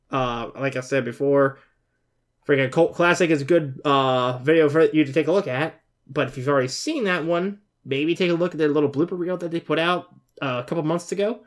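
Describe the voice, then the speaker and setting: A man in his twenties giving casual, rambling, informal off-the-cuff commentary as a reaction video host. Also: fairly high pitch. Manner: rambling, informal, mild swearing. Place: bedroom, indoors.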